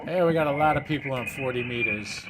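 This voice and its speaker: A man's voice: medium pitch, quick pace. A man giving casual running commentary as he operates a ham radio, thinking aloud through each step. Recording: loud radio hiss and static noise. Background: ham radio hiss and chatter.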